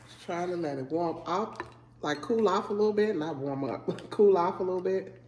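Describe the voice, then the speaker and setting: A congested woman in her forties talks to her viewers between bites, relaxed and chatty, humming over her food. Her voice rings a little in a roomy space, with a faint hiss underneath. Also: lowish voice, moderate pace. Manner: relaxed, chatty, savoring food with hums while eating. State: congested from a head cold. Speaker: mukbang vlogger. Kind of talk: casual eating video, talking to viewers. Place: living room, indoors. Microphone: fixed camera at table. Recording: echoey room with faint noise.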